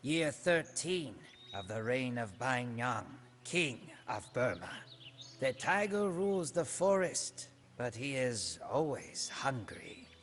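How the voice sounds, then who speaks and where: A man's voice: medium pitch, very animated, slow pace, fairly bright tone, a little hiss or hum. An actor playing a proud, brooding, ambitious Burmese warrior king, narrating his reign solemnly and grandly in a storybook game cutscene. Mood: defiant, resolute.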